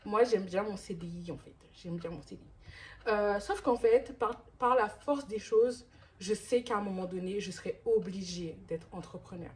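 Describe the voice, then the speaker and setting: A woman's voice: mid-range voice, moderate pace, slight background noise. A woman in her thirties talking casually to camera in a vlog, rambling with many restarts and fillers. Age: 30s. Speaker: vlogger.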